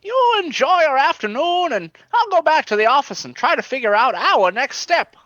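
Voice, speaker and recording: A woman's voice: sits high, sing-song, moderate pace, fairly bright tone, no room sound, muffled recording. A woman playing a chummy, nervous, wheedling male detective in a comic radio play, flustered and overly agreeable.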